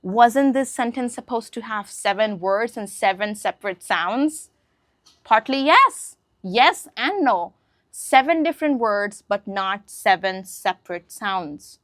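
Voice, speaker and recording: A woman's voice: mid-range voice, expressive, slow, fairly bright tone, no echo. Woman teaching English, casual and dry. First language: Hindi. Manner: conversational, checks in with listeners often. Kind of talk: casual spoken lesson to camera.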